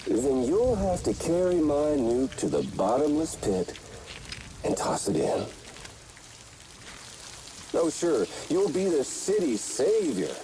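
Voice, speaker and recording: Slow, swooping, gloating villain. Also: male voice, fairly high pitch, slight background noise, poor audio. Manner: taunting, showy wordplay delivery. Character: gloating, theatrical male terrorist villain, mocking and cruel. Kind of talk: cinematic video game acting. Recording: loud rain and ambience behind.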